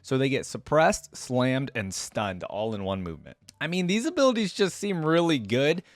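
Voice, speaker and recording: A man's voice: mid-range voice, sing-song, normal speed, no echo, studio quality. A man hosting a reaction video, giving informal, chatty commentary and thinking aloud as he goes. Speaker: YouTube reaction host.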